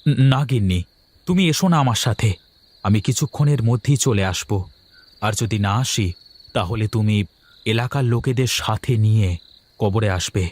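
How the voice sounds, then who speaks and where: A man's voice: on the low side, moderate pace, pauses often, darkish tone, pitched below the performer's own voice, lightly acted. A man voicing a fretful, bewildered village man in a cartoon horror story, speaking urgently and full of questions. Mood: determined, worried. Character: Mahesh, agitated, bewildered village man grieving his mother.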